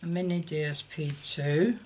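A woman's voice: sits low, fairly even intonation, moderate pace, heavy background noise. An older woman chatting through a card-making tutorial in a casual, self-deprecating way. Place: indoor craft table. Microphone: camera over a desk, hands only.

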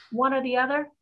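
A woman's voice: high-pitched, background noise. A woman acting a practical, defiant young woman in a staged drama reading over a video call. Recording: dull video-call audio.

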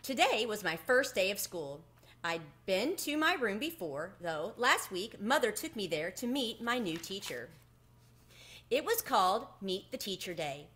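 A middle-aged woman reading a children's story aloud in an animated storytelling manner. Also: medium pitch, measured pace. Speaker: book reader. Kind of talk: expressive children's read-aloud. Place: home room with bookshelves, indoors. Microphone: webcam at desk distance.

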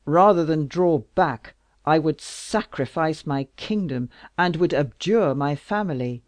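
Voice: mid-range voice, lively intonation, takes it slowly, no echo. A reader voicing a thundering lovesick king. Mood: impassioned fury. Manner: loud, impassioned, declamatory. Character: passionate powerful male king, lovesick and wrathful. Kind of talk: audiobook character reading.

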